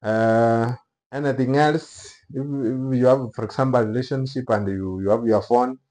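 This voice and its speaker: A middle-aged man with a Kenyan accent giving unscripted news commentary to camera, speaking haltingly in short phrases. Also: mid-range voice, fairly even intonation, a little mellow. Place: small office room, indoors.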